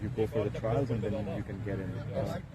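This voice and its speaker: A man from Bangladesh with a Bangladeshi English accent, giving relaxed, halting cricket commentary full of fillers outdoors by the field. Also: lowish voice, very noisy. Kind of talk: casual live cricket commentary.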